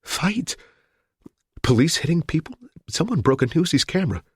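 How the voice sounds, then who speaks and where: A terrified young woman held hostage, as a male narrator puts her on, pleading in high, breathless cries. Her voice jumps far above the reader's own low tone. The recording is close and dry. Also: very animated, moderate pace, husky, no room sound, heavily acted. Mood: shaken.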